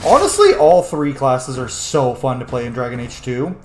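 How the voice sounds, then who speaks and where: Male let's-play host, mid-pitched, casual and opinionated with emphatic swearing, roomy recording. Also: big pitch swings, moderate pace, darkish tone, slight background noise. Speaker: host of a game roleplay series. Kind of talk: casual gaming commentary. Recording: roomy sound with faint game music.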